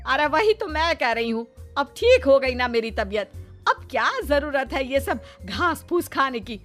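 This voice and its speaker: Woman acting a high-voiced, bossy cartoon mother-in-law. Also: animated, fairly bright tone, faint music behind. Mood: eager, stubborn. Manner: exclaiming, scolding, drawn-out homely speech. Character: middle-aged mother-in-law, doting, food-loving, stubborn and bossy. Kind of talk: Hindi cartoon story acting.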